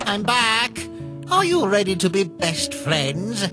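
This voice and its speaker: A man's voice: high voice, sing-song, slow pace, music in the background, full character voice. A man voicing an eager, naive, proud skeleton with grand, exclamatory delivery in a cartoon comic dub. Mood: cheerful, eager.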